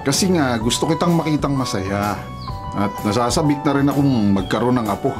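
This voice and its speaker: A man's voice: mid-range voice, expressive, fairly quick, over music, close to an everyday voice. An actor playing an insistent, fond older father in a Filipino radio drama, teasing and paternal in delivery.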